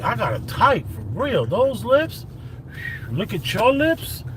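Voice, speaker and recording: A middle-aged man chats from the driver's seat, emphatic and playful, his voice sitting a little high. Heavy car and traffic noise rumbles underneath everything he says. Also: takes it slowly. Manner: animated, joking, emphatic. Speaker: host. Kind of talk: casual chat while driving. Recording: loud road noise from car. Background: loud traffic and car noise.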